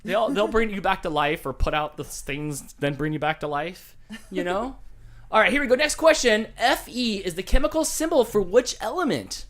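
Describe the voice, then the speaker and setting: A man's voice: high-pitched, lively intonation, normal speed, fairly bright tone. A man hosting a casual call-in trivia show, joking and laughing often. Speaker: show host.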